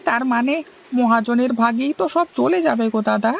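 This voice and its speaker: A performer playing an anxious, grumbling young fisherman in a cartoon, with creaky, rustic, questioning speech. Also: mid-range voice, normal speed, a little hiss or hum, natural voice. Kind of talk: Bengali cartoon story dialogue.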